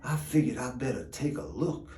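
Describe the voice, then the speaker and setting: An actor playing a wry, plainspoken rural gravedigger, telling a yarn in a drawling folksy dialect with dramatic pauses. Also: male voice, medium pitch, animated, dark tone, close to an everyday voice. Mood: wry, uneasy. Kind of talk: theatrical storytelling monologue. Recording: echoey room.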